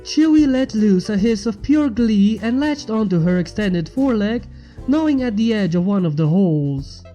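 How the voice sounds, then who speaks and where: Mellow-voiced woman narrating a story. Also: medium pitch, lively intonation, moderate pace, dull audio, soft background music. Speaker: fan fiction reader.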